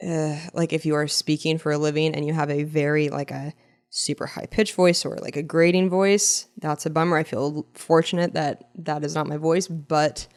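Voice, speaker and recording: A woman comedian co-hosting a podcast in casual chat, with a low voice and a talky style full of "like" fillers. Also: clean tone. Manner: chatty, many "like" fillers.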